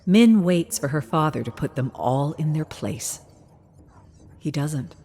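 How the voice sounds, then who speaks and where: A woman narrating a dramatic scripted story in a measured, hushed and intense manner. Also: low-pitched, animated, slightly rough, soft background music.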